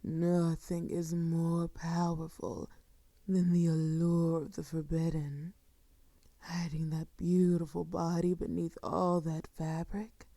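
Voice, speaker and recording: A woman playing a sadistic, seductive vampire in an intimate audio roleplay, speaking softly and formally with a silky, teasing menace that often sinks toward a whisper. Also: fairly low pitch, dry recording. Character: sadistic, seductive female vampire, courtly and predatory. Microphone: close microphone, dry.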